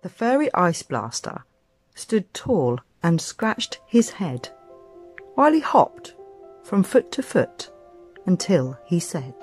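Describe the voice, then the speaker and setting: A woman reading a children's story aloud as dramatic, expressive, suspenseful narration. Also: lowish voice, slow, husky. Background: music.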